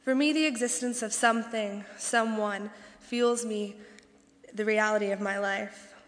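A woman's voice: medium pitch, fairly even intonation, unhurried. A young woman from western Canada reading a prepared, reflective speech in an earnest, lyrical way. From British Columbia, Canada. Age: young adult. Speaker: student speaker. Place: campus convocation hall. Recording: reverberant hall.

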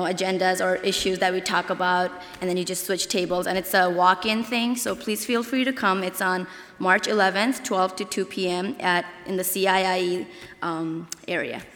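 A woman's voice: mid-range voice, fairly bright tone. A woman makes informal event announcements in a casual, loosely worded way. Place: large indoor hall, convocation. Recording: reverberant hall.

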